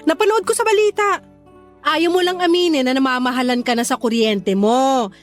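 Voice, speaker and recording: A woman's voice: high voice, normal speed, faint music behind, lightly acted. A woman with a Filipino accent playing a thrifty middle-aged neighbor who needles slyly in a radio drama. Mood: sly, skeptical. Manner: sly, mock-sympathetic teasing.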